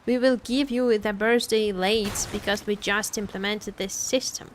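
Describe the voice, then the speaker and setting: A woman streamer giving casual live commentary on a game, expressive and playfully complaining, often repeating her phrases. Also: mid-range voice, measured pace, slight background noise. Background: game music.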